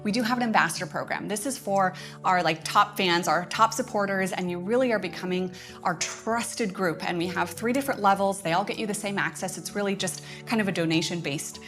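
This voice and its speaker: A woman with a mid-pitched voice hosts a casual livestream update. She sounds chatty and enthusiastic as she shares announcements, with music faintly behind her. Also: brisk, fairly bright tone. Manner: chatty, enthusiastic, often chuckling. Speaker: host of a curriculum update livestream.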